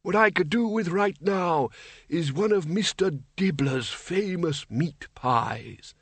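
A man's voice: high voice, lively intonation, takes it slowly, slightly rough, dry recording, voice pushed up, full character voice. A man voicing a very old wizard in an audiobook, cheerfully grumpy and muttering, with hesitant ums. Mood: grumbling. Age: older. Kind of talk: audiobook character voice.

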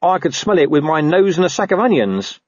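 A man performs a scruffy male talking dog from a storybook. The voice is pitched high and swoops about with a husky edge. He is streetwise and wheedling, hesitating awkwardly in colloquial speech. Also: lively intonation, brisk, a little mellow, no echo, muffled recording. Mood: eager to please. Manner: colloquial, hesitant, working-class phrasing. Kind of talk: audiobook character voice.

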